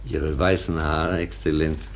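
An actor playing an earnest, protective schoolmaster in a 1950 radio play, polite and patiently explanatory. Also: male voice, rumbling low, flat delivery, a little slow. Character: earnest, deferential schoolmaster, protective of his pupil. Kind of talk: 1950 German radio drama acting. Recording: old radio recording with hiss.

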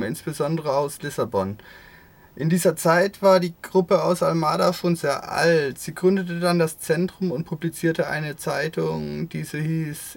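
A man's voice: on the high side, creaky, no echo. A man reading out translated interview answers as a radio voice-over.

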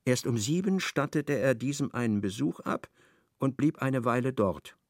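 Male literary narrator for radio drama: creaky mid-pitched voice, measured and precise. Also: expressive, no echo, clean studio sound.

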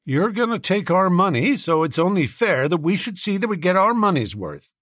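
A man's voice: high voice, big pitch swings, normal speed, a bit bright, no echo, studio quality, pitched above the performer's own voice. A man voicing a stout, hard-headed and suspicious American businessman, brash and insistent. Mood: pushy.

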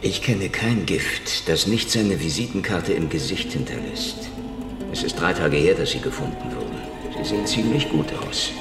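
An actor playing a cynical, menacing Soviet secret-police investigator in a radio crime drama, with sardonic, deadpan wisecracks. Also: male voice, low voice, normal speed, gravelly, music in the background. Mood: skeptical. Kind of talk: hardboiled radio crime drama, acting and narration.

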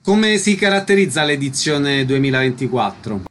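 A man hosting a radio interview, announcing events in brisk phrases. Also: medium pitch, big pitch swings, fairly bright tone, slight background noise. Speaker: radio host.

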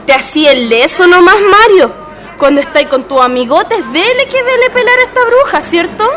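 A woman playing a bossy, jealous, sharp-tongued working-class wife with a Chilean accent in a radio play. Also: very high voice, sing-song, brisk, full character voice. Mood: sarcastic, jealous. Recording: loud music and crowd behind. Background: festive music and crowd.

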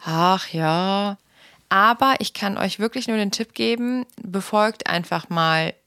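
A woman's voice: mid-range voice, slow pace, bright, forceful tone, clear, resonant tone. A woman earnestly encouraging listeners to try the advice herself. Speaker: participant giving tips. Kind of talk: direct address.

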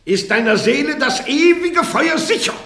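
A man's voice: very high voice, animated, moderate pace, sharp tone, reverberant, heavily acted. An actor playing a solemn church judge who admonishes and threatens an accused woman in a German radio play. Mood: stern. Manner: solemn, admonishing, grows heated. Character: stern churchly prosecutor interrogating a heretic. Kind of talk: 1950s-60s German radio drama.

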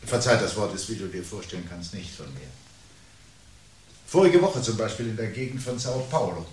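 An actor playing a brooding, articulate man who calmly awaits the world's end in a radio play, speaking in a measured, formal, sardonic way. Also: on the low side, natural voice, rough recording. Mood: resigned, brooding. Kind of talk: 1970s German radio play acting. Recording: 1970s radio production with audible background noise.

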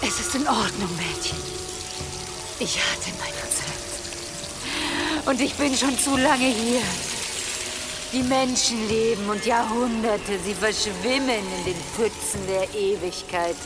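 Radio drama role, an age-old wise woman, higher-pitched voice, unhurried, grave and dramatic. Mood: weary, resigned. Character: ancient wise woman, solemn, weary, resolute. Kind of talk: German radio drama acting. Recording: loud music under the voice.

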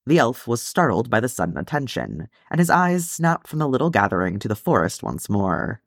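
A man's voice: mid-range voice, big pitch swings, fairly quick, dry recording, clean studio sound. A man reading a story aloud as scripted audiobook narration. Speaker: amateur audiobook reader.

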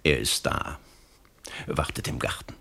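A man's voice: measured pace, close to an everyday voice. A man playing a scheming political go-between in a German radio play, florid and unctuous in his rhetoric. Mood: unctuous, persuasive. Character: oily, scheming male political go-between flattering his mark.

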